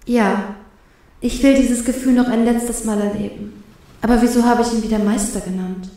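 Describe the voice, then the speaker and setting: A woman's voice: fairly high pitch, fairly even intonation, normal speed, dark tone, lightly acted. A woman voicing a timid, flustered young woman who speaks hesitantly and nervously in an audio story. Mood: nervous longing. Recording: very echoey, reverberant voice.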